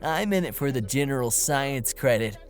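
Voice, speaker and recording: A man's voice: medium pitch, lively intonation, moderate pace, lightly acted. A man playing a laid-back, cocky young student with a casual, breezy drawl in a comedy audio drama. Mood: surprised, casual.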